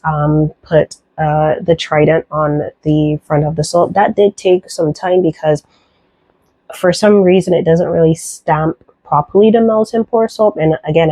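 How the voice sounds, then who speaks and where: A woman from Barbados with a softened Barbadian accent, chatting casually through a soap-making tutorial with lots of fillers. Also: low voice, no room sound, poor audio. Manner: relaxed, chatty, many fillers, light laughs.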